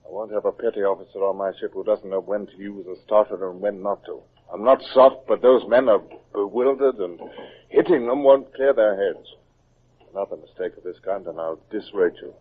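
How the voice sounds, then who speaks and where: An actor playing a stern but humane British naval captain in an old-time radio drama, with a commanding, authoritative manner. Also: male voice, fairly low pitch, fairly quick, breathy edge. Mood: commanding, firm. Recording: muffled, narrow old radio recording.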